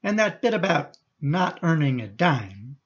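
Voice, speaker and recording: An actor playing a mild, reasonable middle-aged father in a radio play, measured and slightly formal, in a dull recording. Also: on the high side, very animated. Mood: fatherly. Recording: dull, muffled sound.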